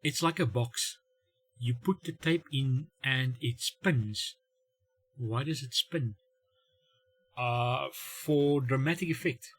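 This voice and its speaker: A middle-aged man delivers a comedic monologue in a medium-pitched, slightly husky voice. He is wry and self-mocking, playing out little exchanges and pausing for dramatic effect. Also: fairly bright tone. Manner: wry, self-mocking dad humour with dramatic pauses and acted-out dialogue. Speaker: host of a family humour channel.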